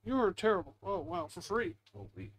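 A man's voice: high voice, sing-song, fairly quick, slight background noise. A man giving casual, rambling, self-deprecating gameplay commentary as the host, muttering into a headset mic at home. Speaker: gaming video host.

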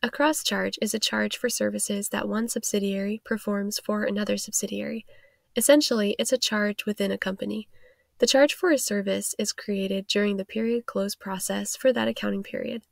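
A woman's voice: medium pitch, steady tone, normal speed, vocal fry, dry recording, studio quality. A woman reading scripted explainer narration as a tutorial narrator, in an instructional manner.

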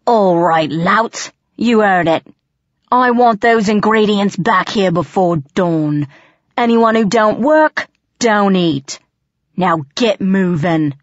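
A woman's voice: mid-range voice, lively intonation, takes it slowly, no room sound, dull audio, pitched above the performer's own voice. A woman voicing a menacing, gloating male goblin chief, a crude bully with rustic ungrammatical speech, in an audiobook. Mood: barking orders. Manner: rustic ungrammatical dialect, snarling threats. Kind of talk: audiobook character voice.

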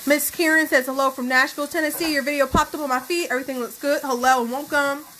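A young woman co-hosting a home cooking stream, reading out viewer greetings in a friendly, steady way. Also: high voice, fast talker, poor audio. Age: young adult. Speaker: co-host reading viewer comments. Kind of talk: reading out live chat greetings. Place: home kitchen, indoors.

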